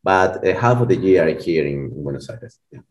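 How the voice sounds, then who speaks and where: A man in his forties from Colombia with an Argentine Spanish accent, speaking English as a second language in a relaxed, rambling interview chat full of fillers and restarts. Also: low voice, a little fast. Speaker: band member guest.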